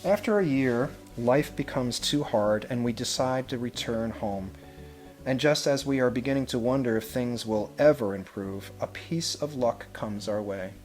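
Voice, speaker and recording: Male storybook reader, mid-pitched voice, measured and expressive delivery, instrumental music underneath. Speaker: head of school reading a picture book to children. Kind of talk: storybook read-aloud with brief asides.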